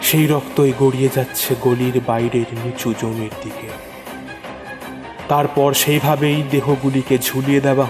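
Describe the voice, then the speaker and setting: Male horror narrator, mid-pitched voice, suspenseful theatrical delivery, repeated phrases, long reverb. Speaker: narrator of horror audio stories. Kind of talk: dramatic first-person horror story narration. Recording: long reverb over prominent music. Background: music.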